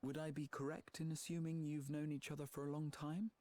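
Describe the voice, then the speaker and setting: A man voicing a scholarly gentleman in a video game, polite, measured and formal. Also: fairly high pitch, little pitch movement, lightly acted. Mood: courteous, inquiring. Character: courteous, thoughtful scholarly gentleman. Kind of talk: video game cutscene acting.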